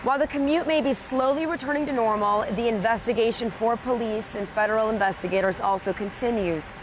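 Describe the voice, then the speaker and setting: A woman's voice: a little high, fairly quick. A woman giving a live television news report outdoors at a downtown intersection. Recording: noisy outdoor street with traffic.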